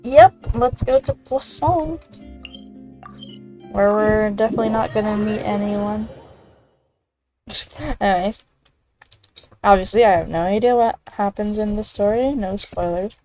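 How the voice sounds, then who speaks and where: A woman's voice: mid-range voice, a little slow, pauses often, dark tone, soft background music. A woman game streamer chatting casually through a playthrough, giggly and a bit scatterbrained.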